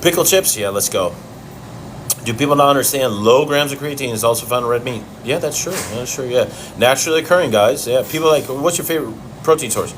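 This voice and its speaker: A man's voice: medium pitch, fast, slight background noise. A man from Chicago hosting a casual livestream Q and A from home, blunt and profane, answering questions while he eats. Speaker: fitness livestream host.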